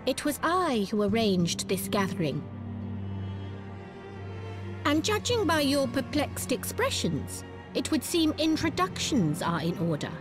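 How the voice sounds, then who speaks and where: A imperious order leader in a game. Her high voice swings expressively as she speaks with formal, deliberate authority. Music plays behind her. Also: sing-song. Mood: self-assured. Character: self-assured, imperious female leader of an order. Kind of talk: dramatic video game voice acting. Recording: music under the voice.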